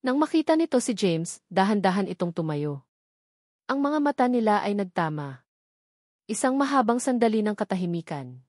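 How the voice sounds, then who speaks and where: Female audiobook narrator for serialized drama. Measured, solemn storytelling, mid-range voice, dry recording. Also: medium pitch, big pitch swings, darkish tone, no room sound.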